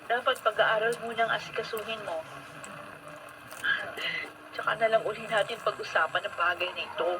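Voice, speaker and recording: A woman's voice: medium pitch, fairly even intonation, normal speed, long pauses, close to an everyday voice. An actress with a Filipino accent playing a caring, candid and earnest female teacher in a radio drama. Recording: very noisy radio broadcast.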